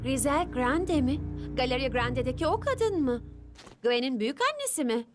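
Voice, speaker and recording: An eager young cartoon girl with a high, youthful voice. She sounds earnest and curious, with faint music behind. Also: very animated, moderate pace. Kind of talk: animated children's cartoon dub.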